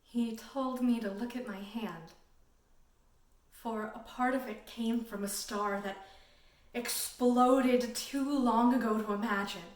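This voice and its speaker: Woman acting a slow, high-voiced dreamy girl. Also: steady tone, a little mellow. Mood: wondering. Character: dreamy, awestruck young girl marvelling at science. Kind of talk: theatrical audition monologue. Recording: roomy echo, distant microphone.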